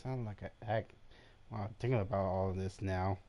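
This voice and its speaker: A man's voice: sits low, fairly even intonation, normal speed, mellow. A man streaming a game with casual commentary, in short muttered reactions and mild exclamations.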